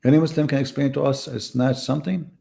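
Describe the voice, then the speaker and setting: Gravelly, mocking man on a live debate. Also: mid-range voice, steady tone, fast, mellow. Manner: mocking, sarcastic rhetorical questions. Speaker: debate host. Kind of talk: live online debate monologue.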